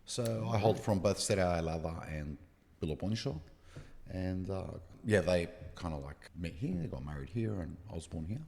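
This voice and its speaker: An Australian man, a professor, chatting as a podcast guest in a relaxed, reflective way. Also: sits low, moderate pace, vocal fry, long reverb tail. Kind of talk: casual chat.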